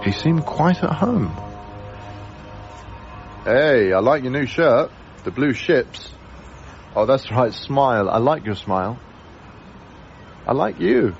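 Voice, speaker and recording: An actor playing a friendly young lodger in a radio drama, gentle, hesitant and chatty. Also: male voice, mid-range voice, big pitch swings, slow pace, creaky, pauses often, highs cut off, soft background music, exaggerated voice. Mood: friendly, curious. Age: young adult. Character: mild, lonely, friendly young male lodger. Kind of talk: naturalistic radio drama acting and first-person narration.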